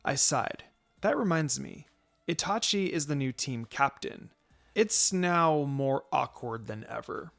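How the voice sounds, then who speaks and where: A man's voice: medium pitch, expressive, takes it slowly, vocal fry, a bit bright, soft background music. A man narrating a first-person fan fiction story.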